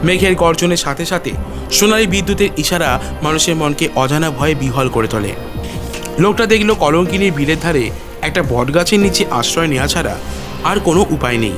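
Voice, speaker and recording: A man's voice: on the high side, lively intonation, a little fast. A man narrating a dramatic audio story in a literary, suspenseful manner. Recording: music behind the voice.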